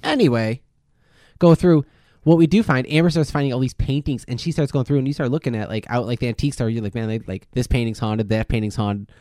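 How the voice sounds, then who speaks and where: A man's voice: mid-range voice, quick pace, mellow, dry recording. Casual rambling man, close dry sound. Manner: rambling, repeats phrases, swears freely. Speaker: podcast co-host. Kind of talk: casual chat and storytelling.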